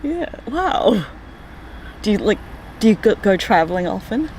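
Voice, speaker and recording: A woman who interviews her guest in casual chat, eager and quick to laugh. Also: mid-range voice, sing-song, darkish tone, a little hiss or hum, poor audio. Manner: eager, giggly, with frequent short reactions.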